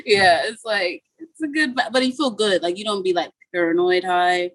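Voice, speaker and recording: A woman's voice: mid-range voice, normal speed, a bit bright, dry recording. A young woman from Guyana, a musician guest chatting casually on a video call interview, with a laid-back manner full of "like" and "you know".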